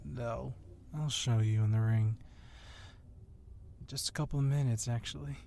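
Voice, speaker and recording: A dark, relaxed-toned police wrestling champion played by a male actor in an ASMR audio drama. He is tough and sure of himself. He needles his rival with teasing confidence in a mid-pitched voice. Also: a little slow, vocal fry, a little hiss or hum. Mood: confident, teasing. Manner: teasing, self-assured.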